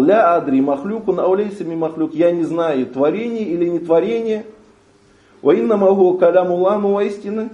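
A man's voice: a little high, mellow, reverberant, lo-fi sound. A man teaching a religious lesson, reading a passage aloud and translating it phrase by phrase. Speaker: Islamic teacher.

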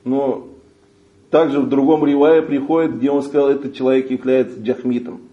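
A man's voice: medium pitch, fairly even intonation, normal speed, echoey room, lo-fi sound. A man teaching a religious lesson, reading a passage aloud and translating it phrase by phrase. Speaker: Islamic teacher.

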